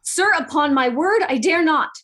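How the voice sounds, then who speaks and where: A woman's voice: high-pitched, lively intonation, normal speed, sharp tone. A woman playing a timid fourteen-year-old boy in a radio play reading, with a hesitant, earnest manner. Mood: frightened.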